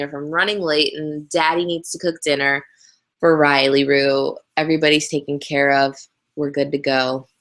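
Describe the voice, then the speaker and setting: A woman's voice: low-pitched, vocal fry, a bit bright. A young woman from Canada, hosting a casual live Q&A, chatty and candid with frequent ums, speaking into a webcam. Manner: chatty, candid, laughs easily, many ums. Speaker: host answering viewer questions. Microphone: webcam on a live video hangout.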